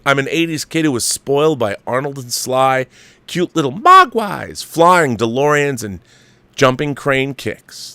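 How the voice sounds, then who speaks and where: A man's voice: mid-range voice, bright, forceful tone, no echo. An older man hosting a movie talk show, reading viewer mail aloud and riffing on it in an opinionated, emphatic, conversational way.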